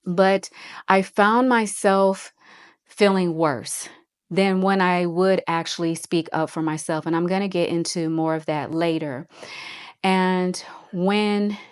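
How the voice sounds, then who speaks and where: A woman in her forties speaks slowly and with care, her voice a little low and very smooth. She talks in an earnest, reflective way and often goes back to repeat a phrase. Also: fairly even intonation. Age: 40s. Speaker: host. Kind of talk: personal motivational talk.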